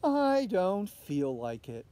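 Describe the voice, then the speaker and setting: Man reading as a headstrong little girl, swooping pitch. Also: huge pitch swings, slow, warm tone, heavily acted. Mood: headstrong, cheeky. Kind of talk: picture-book read-aloud. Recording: outdoor recording with background noise.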